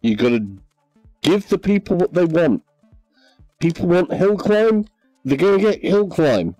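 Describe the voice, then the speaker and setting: A man chatting casually on a VR game livestream, relaxed and hesitant, with many ums. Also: high-pitched, unhurried, no echo, soft background music, rough recording. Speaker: livestream gamer.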